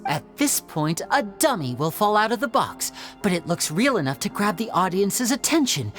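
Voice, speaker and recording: A woman playing a poised stage magician in a video game, speaking in a theatrical, measured, confiding way. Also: mid-range voice, close to an everyday voice. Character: poised female stage magician explaining tricks and clues. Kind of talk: scripted video game voice acting.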